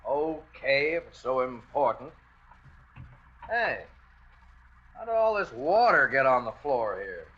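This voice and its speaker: An American actor playing a plain-spoken, puzzled working husband who suspects little, in a 1940s-style radio suspense drama. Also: male voice, mid-range voice, sing-song, measured pace, pauses often, fairly bright tone, slightly rough, heavily acted. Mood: matter-of-fact. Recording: muffled old radio recording.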